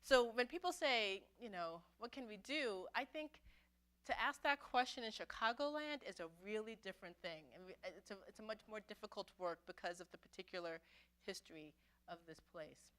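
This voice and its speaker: A middle-aged woman from Brooklyn, a pastor telling her own story to an adult forum in a conversational, reflective way, often pausing and restarting. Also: medium pitch, lively intonation, a bit bright. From Brooklyn, New York City. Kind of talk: personal testimony talk. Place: church meeting room.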